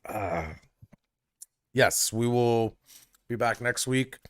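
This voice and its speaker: A man's voice: medium pitch, very slow, smooth, no echo. A man in his thirties co-hosting a casual live-stream podcast, joking around and wrapping up the show with lots of fillers. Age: 30s. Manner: joking, loose, filler-heavy sign-off. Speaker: podcast co-host.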